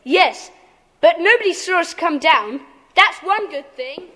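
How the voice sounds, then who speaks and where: Very high-voiced bossy schoolboy. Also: female voice, full character voice. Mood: brisk. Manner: brisk, commanding, hushed excitement. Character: bold, cautious schoolboy gang leader. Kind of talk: children's audiobook drama acting. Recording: echoing hall, faint noise.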